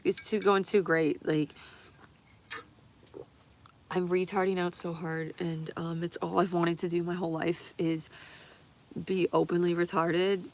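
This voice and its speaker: Excitable lower-voiced woman rambling casually. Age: 30s. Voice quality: voice strained and tiring from long talking. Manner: excitable, rambling, giggly, swearing freely. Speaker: livestreamer. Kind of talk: casual rambling confessional livestream.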